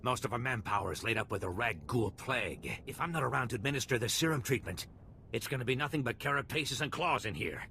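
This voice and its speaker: An actor playing a seedy, flustered back-alley doctor in a video game, stammering and sarcastic. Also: male voice, mid-range voice, animated, fast, creaky, pushed and bright, a little hiss or hum. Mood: exasperated, sarcastic.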